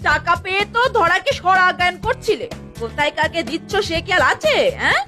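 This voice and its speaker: Woman playing a high-voiced, scolding cartoon wife. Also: big pitch swings, a little fast, bright, forceful tone, faint music behind, exaggerated voice. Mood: reproachful. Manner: nagging, reproachful questioning. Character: scolding, exasperated wife of a spendthrift. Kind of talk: comedy cartoon voice acting.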